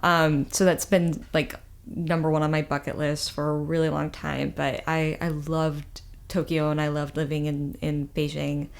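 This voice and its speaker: A young woman musician from California chats casually and upbeat as a guest, full of "like" and "um". Her voice sits low for a woman. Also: little pitch movement, normal speed, gravelly. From California, USA. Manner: casual, upbeat, lots of filler words. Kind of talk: casual interview chat.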